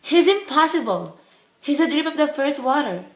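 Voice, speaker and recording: A lovestruck young daughter in a radio drama. Her voice is fairly high, and she comes across as eager, naive and headstrong. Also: very animated, moderate pace. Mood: indignant, let down. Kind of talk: radio play acting.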